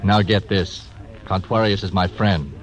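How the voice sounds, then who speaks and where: An actor playing a blunt, tough croupier in a 1940s radio drama. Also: male voice, low-pitched, steady tone, a little slow, poor audio. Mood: curt. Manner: curt, blunt. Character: tough, curt casino croupier.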